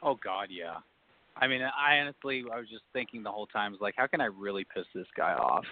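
A man, an actor calling in as a guest, talking about his role in an animated, self-mocking way. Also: lowish voice, a little fast. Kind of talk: casual call-in chat. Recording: tinny phone line, dry.

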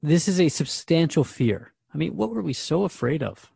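A man's voice: a little high, very animated, normal speed, gravelly, mellow, breathy edge, dry recording, studio quality. A man acting as a radio host in a drama, asking questions in an earnest, interviewing manner.

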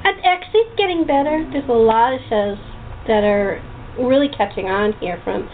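A woman hosts a relaxed chat, often laughing and tripping over her words as she goes. Her voice sits a little high. It comes through a thin, phone-like line with a faint hiss behind it. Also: moderate pace. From United States. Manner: chatty, laughs often, restarts sentences. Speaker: host. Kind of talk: casual chat over a call.

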